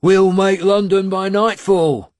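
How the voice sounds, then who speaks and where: Man acting boastful warlord, snarling, sneering, scornful, raised pitch, loud delivery, quick to anger, audiobook storytelling. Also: fairly even intonation, dry recording, clean studio sound. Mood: commanding.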